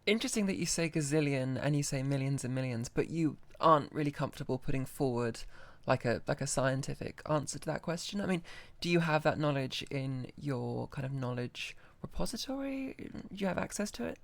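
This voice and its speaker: A woman casually questioning a conversation partner as an interviewer, polite, curious and gently persistent. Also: rumbling low, animated, brisk, gravelly, no echo. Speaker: interviewer testing an AI voice.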